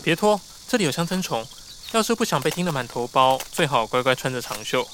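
A man acting as a calm, cautious guide in an audio drama, explaining things in a matter-of-fact way. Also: medium pitch, fairly quick, slight background noise, lightly acted. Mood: cautioning. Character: calm, cautious male guide to a hidden tribe's land. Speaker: voice actor.

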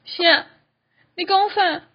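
A woman's voice: very high voice, steady tone, takes it slowly, a little hiss or hum. A teenage girl acting a doting, protective, blustery father in an amateur radio drama. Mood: shocked. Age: teens. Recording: dull lo-fi student recording.

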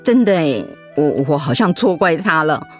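A woman's voice: low-pitched, sing-song, soft background music. An actress playing a sick, easily hurt wife and mother in a radio drama, with a Taiwanese accent and an insistent, whiny, emotive delivery. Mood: contrite, grateful. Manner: whiny, emotive, with drawn-out sentence particles.

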